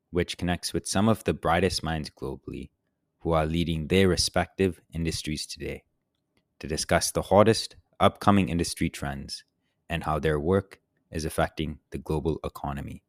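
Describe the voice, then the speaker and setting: A man's voice: a bass voice, moderate pace, no room sound, studio quality. A man hosting a podcast, reading a scripted upbeat introduction and asking questions. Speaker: podcast host.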